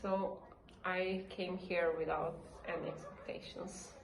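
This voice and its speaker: A woman of twenty-four, a master's student, answering interview questions in a lively, frank way. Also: on the low side, takes it slowly, roomy sound, rough recording. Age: 20s.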